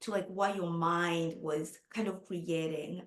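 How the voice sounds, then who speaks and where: A woman's voice: lowish voice, steady tone, measured pace. A woman interviewer asking a question in a casual, searching way. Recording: echoey room.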